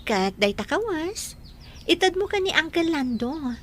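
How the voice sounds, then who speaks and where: An actress with an Ilocano accent playing a flirtatious, meddling woman in a radio drama, coy and animated. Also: high voice, measured pace, slight background noise, heavily acted. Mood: coy, persuasive.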